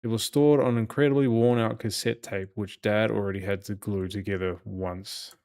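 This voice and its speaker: A man's voice: on the low side, fairly even intonation, normal speed, darkish tone. A man reading visual novel narration aloud as a let's play host, occasionally stumbling and repeating phrases. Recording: game music behind the voice. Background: horror game music.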